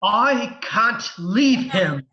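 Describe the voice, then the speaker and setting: A man's voice: very high-pitched, big pitch swings, takes it slowly, muffled recording, a crowd in the background, exaggerated voice. A man voicing an anxious, prim protocol droid, formal and fretful, in a sci-fi audio drama. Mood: distraught.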